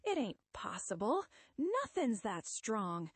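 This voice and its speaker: Woman putting on a slangy, high-pitched rock-monster tough guy. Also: sing-song, takes it slowly, breathy edge, no echo, heavily acted. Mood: desperate. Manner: slangy tough-guy talk with dropped grammar. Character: hulking orange rock monster hero, gruff but tender.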